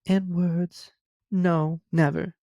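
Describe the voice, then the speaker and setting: A man voicing a gentle, sorrowful young woman in a storybook, speaking softly and earnestly in measured phrases. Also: a little high, level tone, gravelly, no room sound, clean studio sound, voice pushed up, heavily acted. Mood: gentle, grieving.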